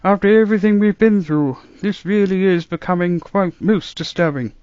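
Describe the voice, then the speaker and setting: A man's voice: high-pitched, normal speed, a little mellow, no echo, lo-fi sound, pitched above the performer's own voice, natural voice. A man voicing a fussy, worried elderly doctor who speaks to his granddaughter.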